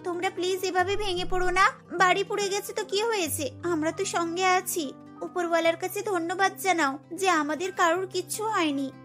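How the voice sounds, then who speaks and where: High-pitched, plucky young wife voiced by a woman. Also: fairly even intonation, normal speed, fairly bright tone, faint music behind. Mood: consoling, determined. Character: five young daughters-in-law, plucky and consoling. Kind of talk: cartoon story dialogue.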